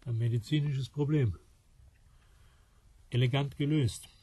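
Very raspy, weary man. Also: mid-range voice, measured pace, dry recording. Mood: dry, wry. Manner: terse, dry, deadpan. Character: tired, skeptical male companion, gruff and laconic. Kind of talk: radio play acting.

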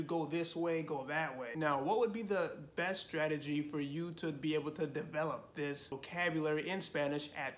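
Guy in his 30s on his couch talking language tips into the camera, lively, lotta "you know"s, slightly higher voice. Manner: animated, gestures a lot, frequent fillers like "you know". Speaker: Spanish learning channel host. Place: living room, seated on a couch.

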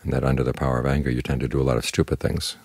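A man giving a short spoken meditation talk as a Buddhist teacher, calm, instructive and plain-spoken. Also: extremely low pitch, rapid-fire, creaky, breathy edge, no room sound.

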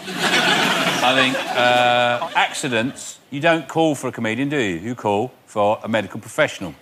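A man's voice: mid-range voice, moderate pace, pushed and bright, a little hiss or hum. A male comedian making a dry, slightly hesitant point in a debate. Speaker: comedian panelist.

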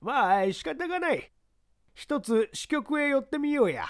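A reader voicing a brash, impatient newspaperman in an audiobook mystery, with blunt, rough colloquial speech. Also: high-pitched, sing-song, unhurried, voice pushed up, heavily acted. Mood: reckless. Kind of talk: audiobook character acting.

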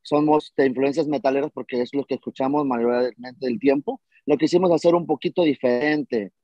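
A man's voice: medium pitch, steady tone, normal speed, warm tone, no echo, muffled recording. A man, a band vocalist, answering interview questions casually over a video call, rambling with fillers.

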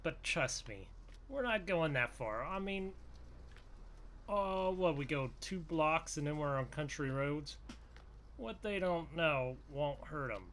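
A man's voice: fairly high pitch, animated, slow. A man giving casual, folksy gameplay commentary in roleplay, thinking aloud as he goes. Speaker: gaming video host. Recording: loud vehicle engine noise behind. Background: game truck engine sounds.

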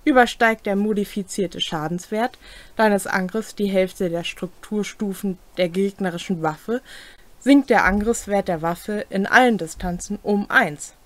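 Slow-reading young woman. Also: mid-range voice. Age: young adult. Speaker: co-host explaining tabletop game rules. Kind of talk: reading rules aloud with brief comments. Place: small home room, indoors.

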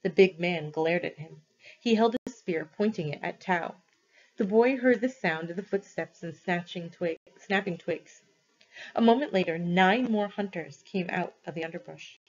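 A woman's voice: fairly low pitch, normal speed, dull audio. A woman reading a story aloud expressively, as a teacher does for students.

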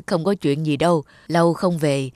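A woman's voice: deep voice, fairly even intonation, measured pace, a little mellow, no echo, clean studio sound. A woman voicing a humble elderly housekeeper who is hesitant and apologetic, in a cartoon dub. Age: older. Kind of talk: cartoon dubbing.

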